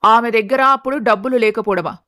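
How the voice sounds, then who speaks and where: A woman's voice: a little high, a little fast, fairly bright tone, dry recording, lightly acted. A woman voicing a blunt, indignant middle-aged wife and mother in a family story. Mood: resentful.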